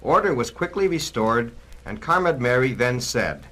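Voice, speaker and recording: A man delivers scripted narration in the style of a 1960s political documentary record. His mid-range voice swings widely in pitch. He is emphatic and admonishing, like an orator warning his listeners. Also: expressive, moderate pace, fairly bright tone, poor audio.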